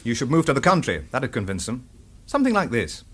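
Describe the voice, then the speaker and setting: An actor playing an easygoing, hospitable friend, breezy and solicitous, in a radio drama. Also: male voice, medium pitch, huge pitch swings, brisk, a bit bright, husky, full character voice. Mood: friendly, concerned.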